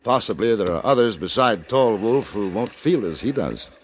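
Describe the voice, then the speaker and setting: An actor playing a cool-headed frontier gentleman who narrates in the first person, understated and wry, in a 1950s radio drama. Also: fairly low pitch, expressive, moderate pace, slight background noise, lightly acted. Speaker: narrator and lead character. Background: music.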